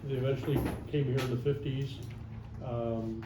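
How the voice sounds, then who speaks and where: A man gives a matter-of-fact introduction, halting with fillers as he goes. The room is echoey and background noise is clearly audible. His pitch is in the middle. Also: fairly even intonation. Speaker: workshop participant, lawyer. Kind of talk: self-introduction at a workshop.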